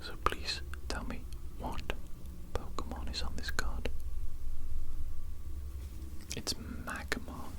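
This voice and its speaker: A performer whispering as an evil scientist who tests a psychic potion on the listener and calmly insults them. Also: takes it slowly, pauses often, natural voice. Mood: mocking. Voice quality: unvoiced whisper throughout. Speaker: ASMR roleplay creator. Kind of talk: whispered ASMR roleplay.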